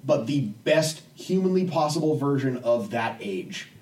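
A man's voice: mid-range voice, animated, unhurried, darkish tone. A man chatting casually and profanely about films, rambling informally as a podcast panelist. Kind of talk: casual film discussion. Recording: distant from the microphone.

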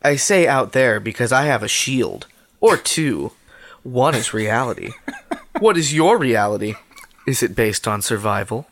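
Um homem, coapresentador de podcast, que lê em voz alta com um tom seco e impassível numa conversa cômica. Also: male voice, medium pitch, lively intonation, a little slow, a bit bright. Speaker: podcast co-host. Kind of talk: casual comedic chat, reading a dating ad aloud.

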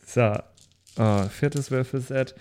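A man's voice: medium pitch, slow, a little mellow, clean tone. A man talking casually as a player in a tabletop role-playing game.

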